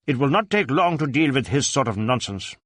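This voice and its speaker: A man voicing a brisk, suspicious Indian police superintendent who snaps out his words in an audiobook. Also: mid-range voice, big pitch swings. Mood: brisk, confident. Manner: sharp, snapping, commanding. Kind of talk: audiobook character acting.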